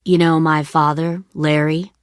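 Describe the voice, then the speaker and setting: A woman's voice: low-pitched, level tone, a little slow, smooth, dry recording, studio quality. A woman playing a cold, menacing middle-aged commander of armed survivors in a dramatic video game. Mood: calm, bitter storytelling.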